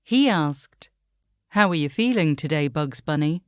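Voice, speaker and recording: A woman's voice: deep voice, expressive, a little slow, dry recording, clean studio sound. A woman narrating a scripted documentary as the host.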